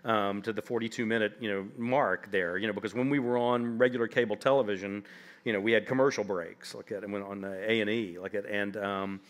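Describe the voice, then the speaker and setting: A man's voice: lowish voice, steady tone, brisk. A man in his fifties, an author telling funny anecdotes on a library stage before a seated audience, rambling with lots of "like" and "you know". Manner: rambling, humorous, heavy on "like" and "you know" fillers. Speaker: author guest.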